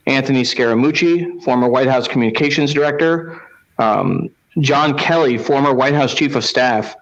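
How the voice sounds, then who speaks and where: Halting male host, dull lo-fi sound. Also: medium pitch. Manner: halting, pausing between short phrases. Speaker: host of a live newspaper read-along. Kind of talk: casual live show hosting, reading out viewer comments.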